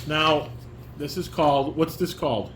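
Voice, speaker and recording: A man's voice: a little high, fairly even intonation, a little slow, a bit bright. Male, instructive dad talking to a child, firm and quizzing, roomy echo with noise behind. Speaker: father leading a family table read. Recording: echoey room, background noise.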